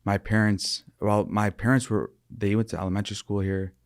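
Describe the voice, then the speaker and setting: Podcast guest: young man, deep monotone voice, thoughtful and earnest, dry close sound. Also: a little fast, no echo. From Michigan, USA. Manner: earnest, thoughtful, with many restarts and fillers. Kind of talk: reflective interview talk.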